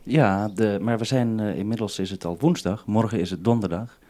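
Low-voiced man, halting radio host. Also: background noise. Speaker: radio programme maker and host. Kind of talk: unscripted two-way radio conversation.

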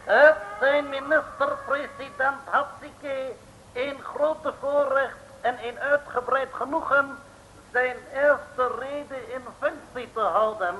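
An actor playing a pompous, scheming foreign villain in an old comic radio serial, speaking grandiose, stilted broken Dutch. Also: male voice, very high voice, fairly even intonation, slow pace, bright, forceful tone, slight background noise, full character voice. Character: pompous, scheming foreign male villain, self-styled prime minister. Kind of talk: 1950s-60s comic radio play acting. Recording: dull old radio recording.

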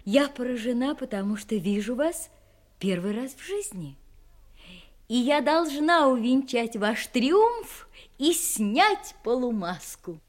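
An actress playing a refined, self-possessed young gentlewoman, candid and faintly mysterious, in an audio drama, speaking elegantly with dramatic pauses. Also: on the high side, lively intonation. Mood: surprised, coy. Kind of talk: Russian audio drama acting.

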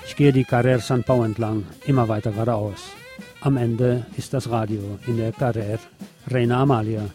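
A man's voice: mid-range voice, steady tone, normal speed, music in the background. A man presenting a scripted monologue as host of a radio show.

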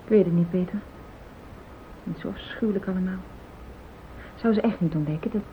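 An actress playing a worried, conscientious wife in a radio play, questioning and gently reproachful. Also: female voice, a little fast, close to an everyday voice. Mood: uneasy. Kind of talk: Dutch radio play acting. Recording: muffled phone-like old radio recording, rumbling hiss.

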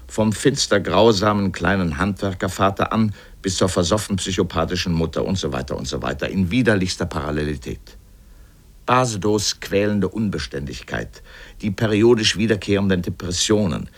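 Deep-voiced, ironic literary narrator. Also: male voice. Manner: deliberate, pointed, ironic. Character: erudite male literary narrator, sardonic and opinionated. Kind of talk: scripted literary radio essay.

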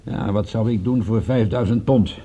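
Low, husky, wary private eye. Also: male voice, moderate pace, mellow. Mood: hesitant, candid. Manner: hesitant, dry, understated. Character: wry, wary male private detective, candid under threat. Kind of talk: radio drama acting.

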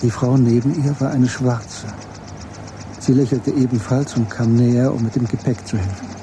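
A man narrating a literary radio drama from a script in a measured, understated way. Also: mid-range voice, fairly even intonation, background noise.

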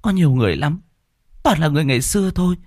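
A man voicing a frightened young village woman haunted by a ghost, speaking in a high, trembling, panicky voice. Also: measured pace, breathy edge, no echo, clean studio sound, full character voice. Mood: terrified.

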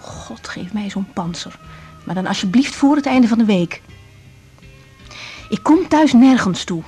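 An actress playing a young, sensitive, tired woman doctor in a radio drama, speaking gently and reflectively with a Netherlands Dutch accent. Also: fairly high pitch, close to an everyday voice. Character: young female doctor, weary, sensitive, caring. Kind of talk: radio drama acting, intimate diary monologue and dialogue.

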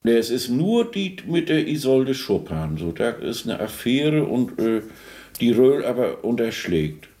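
A man giving spontaneous, searching commentary on a composer, halting and often checking in with a questioning tag. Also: mid-range voice, big pitch swings, dark tone. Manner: halting, searching, tags remarks with a questioning particle. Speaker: commentator on a composer's life and work. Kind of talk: spontaneous musing commentary in a radio play.

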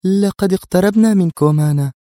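A man voicing a quiet, sly young woman agent in an audio drama, with a murmuring, knowing delivery. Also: high voice, fairly even intonation, moderate pace, no echo, clean studio sound, pitched above the performer's own voice. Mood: quietly tense.

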